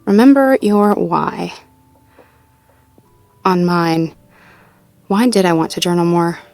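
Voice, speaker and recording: A woman playing a sweet, supportive girlfriend in an intimate audio roleplay, talking in a gently upbeat, conversational way as she thinks aloud. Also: lowish voice, lively intonation, slow, creaky, full character voice. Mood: thoughtful, supportive. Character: sweet, supportive girlfriend working through goals with partner.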